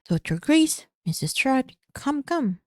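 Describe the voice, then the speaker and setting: A woman voicing an excitable middle-aged male Russian scientist in a put-on Russian accent, speaking broken English and eagerly repeating words. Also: sits high, slow pace, no room sound, clean studio sound, heavily acted. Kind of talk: casual read-aloud voice acting.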